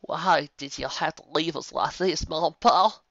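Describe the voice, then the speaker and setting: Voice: medium pitch, measured pace, no echo, muffled recording, pitched above the performer's own voice. Applejack: a sobbing country pony mare, as a reader puts her on. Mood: anguished. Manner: crying out in grief, rising to shouts. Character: grieving young farm mare pony, folksy.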